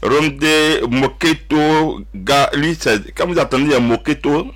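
A man hosting a religious radio talk show, often pausing and repeating his words. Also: fairly high pitch, a little hiss or hum. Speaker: radio host.

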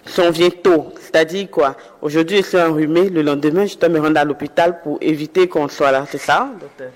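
A man's voice: on the high side, fairly even intonation, fairly quick, long reverb tail. A man hosting a health interview, asking the doctor questions with some fillers and urging listeners to follow advice. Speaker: interviewer host.

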